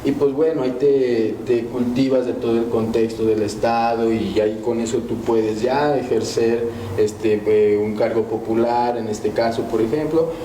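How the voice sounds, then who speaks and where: A panelist feels his way through his answer, pausing and filling gaps as he goes. His voice is mellow and relaxed. The studio adds echo, with a faint hiss behind. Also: medium pitch. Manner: hesitant, many fillers. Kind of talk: debate answer. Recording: echoey studio with faint noise.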